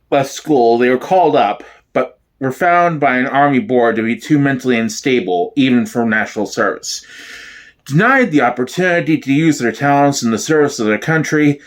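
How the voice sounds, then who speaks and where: A man giving a dramatic, deadpan reading as the narrator of a mock documentary. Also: mid-range voice. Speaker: solo performer, narrator.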